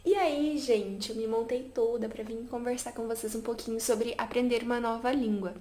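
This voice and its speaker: A woman's voice: fairly high pitch, fairly even intonation, normal speed, warm tone. A woman chatting casually to camera about learning languages, with many fillers and an upbeat, talkative manner. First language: Portuguese. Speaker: language vlogger.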